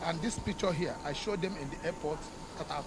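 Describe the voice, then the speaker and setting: A man with a Nigerian accent giving a street interview, telling his account in steady detail. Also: on the high side, brisk. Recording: street noise with passing vehicles.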